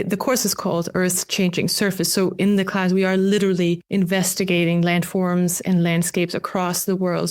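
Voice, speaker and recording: Casting: woman academic from Denmark, non-native English, mid-pitched, careful interview explainer with hesitant repeats. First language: not English. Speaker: guest, geography professor. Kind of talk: podcast interview, explaining own teaching.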